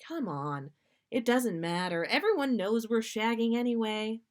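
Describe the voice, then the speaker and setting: A woman's voice: fairly high pitch, expressive, measured pace, darkish tone, voice pushed up. A woman voicing a cheeky, coaxing man, a playful boyfriend, in a fan fiction reading. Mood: teasing. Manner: teasing, wheedling. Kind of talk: fan fiction read aloud.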